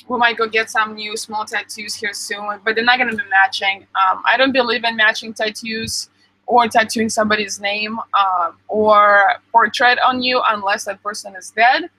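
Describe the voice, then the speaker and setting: Casual young female streamer, upbeat and talkative, crisp bright voice, dry close mic. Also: on the high side, dry recording, rough recording. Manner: chatty, answers viewer comments with many ums. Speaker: vlogger reading live chat. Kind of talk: casual live stream chat.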